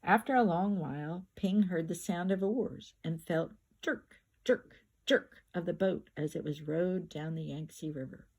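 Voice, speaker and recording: An older woman reading a children's picture book aloud like a grandmother, with expressive, drawn-out words and emphatic repetition. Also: lowish voice, slightly rough.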